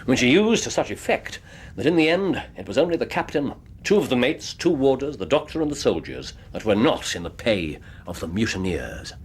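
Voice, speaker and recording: An actor playing an incisive Victorian detective in a radio drama, with an English accent, recounting a case in precise, theatrical phrasing. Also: male voice, medium pitch, big pitch swings, a little fast, husky. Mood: grave, precise. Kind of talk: period radio drama acting, storytelling.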